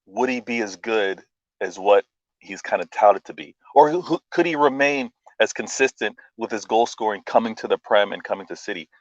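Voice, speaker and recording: A man talking football as a stream guest, enthusiastic and casual, often pausing with "um". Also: fairly low pitch, no room sound, muffled recording. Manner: enthusiastic, fills pauses with "um". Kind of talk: casual chat.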